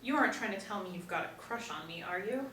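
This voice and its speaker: A woman acting a sharp-tongued woman, echoey room. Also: medium pitch, animated, fast, lightly acted. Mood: sceptical. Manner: sarcastic, blunt. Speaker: actress at a screenplay table reading. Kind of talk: script table reading. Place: indoor room, seated. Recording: echoey room, distant mic, faint noise.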